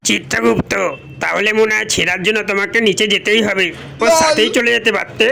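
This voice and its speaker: A man playing a blustering, bossy lord of death in a comic cartoon, with a gravelly, sharp voice. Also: high-pitched, steady tone, fairly quick, slight background noise, full character voice. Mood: exasperated. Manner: bossy, exclaiming. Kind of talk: comic Bengali cartoon dubbing. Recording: faint music behind the voice.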